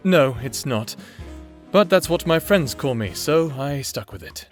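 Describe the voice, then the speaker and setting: A man's voice: a little high, expressive, a little slow, soft background music. A man playing a proper, guarded new prisoner in an audio drama, serious and formally spoken. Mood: wary, dry. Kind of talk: audio drama character acting.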